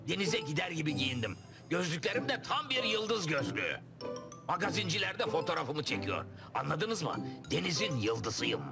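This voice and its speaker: High animated male voice, cartoon lion father, childish, boastful, theatrical. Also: moderate pace, creaky. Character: vain, childishly excitable lion dad. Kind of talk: cartoon voice acting. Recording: loud music under dialogue.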